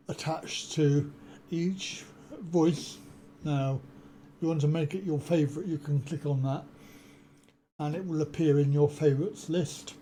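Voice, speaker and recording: A man walks through a piece of software at a slow, deliberate pace, pausing often on ums and uhs. His voice is deep and mellow, a little higher-pitched than most men. A faint background hiss sits under the desk-mic recording. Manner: unhurried, explanatory, many ums and uhs. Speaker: software tutorial presenter. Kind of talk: screen-recorded software walkthrough. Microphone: desk USB microphone.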